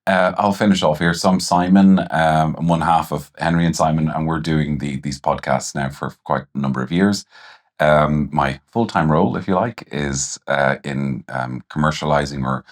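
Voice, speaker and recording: A man with an extremely low voice moderates a podcast roundtable. His phrasing is polite and a little hesitant as he steers the conversation. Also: steady tone, normal speed.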